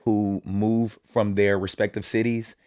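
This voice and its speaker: A man's voice: fairly low pitch, unhurried, clear, resonant tone, no room sound, studio quality. A young man from Indiana, a guest artist talking casually and easygoing, with lots of "you know" and "like". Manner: relaxed, many fillers and restarts. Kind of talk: casual interview chat.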